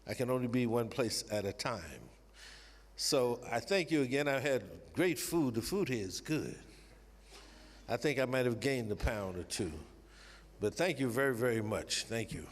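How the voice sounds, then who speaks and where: A man from New York with an American accent speaking from the stage as a guest musician, gracious and warm, often saying "you know". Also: medium pitch, lively intonation, measured pace. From New York, USA. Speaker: guest saxophonist. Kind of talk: thank-you speech from stage. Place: concert hall stage. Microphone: stage microphone. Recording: hall reverb, loud crowd noise.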